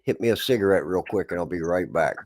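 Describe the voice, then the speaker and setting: A man's voice: low voice, animated, quick pace, noisy background. A man who is a guest on a livestream panel, speaking in a drawling, preachy way. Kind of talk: casual livestream chat.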